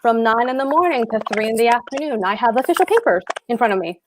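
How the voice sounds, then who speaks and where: A woman's voice: a little high, animated, fast talker, a little hiss or hum, lightly acted, rough recording. A woman reading the part of a pompous, pedantic husband and petty official in a stage comedy table read. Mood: pompous, smug. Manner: pompous, emphatic, precise.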